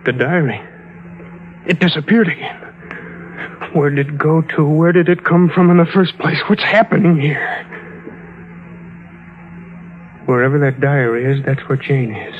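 A male actor plays a grieving man in a vintage radio drama, desperate to be believed. His voice sits a little high and sounds earnest and insistent. The old recording is slightly dull. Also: normal speed, faint music behind, lightly acted. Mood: bewildered, anguished. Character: bereaved, desperate man insisting his love still lives. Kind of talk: 1940s-50s radio drama acting.

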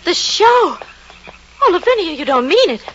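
A woman's voice: very high-pitched, sing-song, normal speed. An actress playing a timid, shaken woman, anxious, faltering and pleading, in an old radio drama. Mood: distressed. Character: timid, shaken, fretful female friend. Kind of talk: 1940s-50s radio drama acting. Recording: muffled, noisy old radio recording.

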